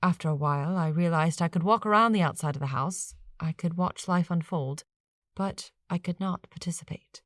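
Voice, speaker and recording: Female narrator as courteous colonial gentleman, rueful, formal, measured, lowish voice, dry audiobook sound. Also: no echo, natural voice. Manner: formal, measured, old-fashioned wording. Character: courteous, rueful 18th-century gentleman, a lonely cursed man.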